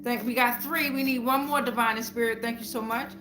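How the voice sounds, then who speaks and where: A woman's voice: a little high, fairly even intonation, a little fast, background noise. A woman hosting a live tarot reading, talking informally to viewers with repeated phrases and frequent check-ins. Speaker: tarot reader and live stream host.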